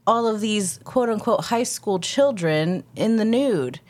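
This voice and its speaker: A woman's voice: mid-range voice, animated, measured pace, darkish tone. A young adult woman co-hosting a podcast, chatting casually with blunt, crude jokes. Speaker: podcast co-host. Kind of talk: casual chat.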